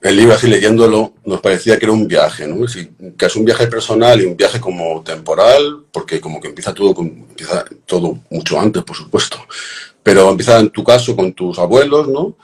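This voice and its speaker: A man hosting an interview, asking questions in a measured, inquisitive way. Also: sits low, expressive. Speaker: host, interviewer. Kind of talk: conversational interview.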